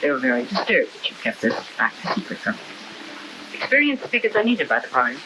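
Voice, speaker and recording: An actor playing a cold, officious communist functionary in a 1960s propaganda film, speaking stiffly and formally. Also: male voice, mid-range voice, very animated, fast talker, close to an everyday voice. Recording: hissy old film soundtrack.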